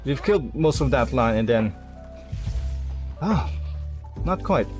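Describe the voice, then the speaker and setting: A man's voice: mid-range voice, animated, slow, darkish tone, soft background music. A man giving casual gameplay commentary, thinking aloud and muttering half-finished plans with some mild swearing. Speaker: video game streamer.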